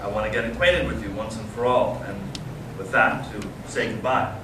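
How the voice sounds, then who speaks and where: A man acts out a bitter, searching young intellectual in a readers theater drama. He speaks deliberately and earnestly, with dramatic pauses. The sound is very echoey, picked up from a distance with clear background noise. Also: lowish voice, lightly acted. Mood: warm, nostalgic. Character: bitter, intense young intellectual Russian brother, searching and provocative. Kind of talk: staged readers theater reading. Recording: echoey room, distant mic, noisy amateur recording.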